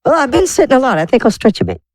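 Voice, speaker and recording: A woman playing an eager, earthy young scullery maid who talks in a chatty, halting way in a tabletop roleplay. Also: high voice, huge pitch swings, crowd noise. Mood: pleased, relaxed.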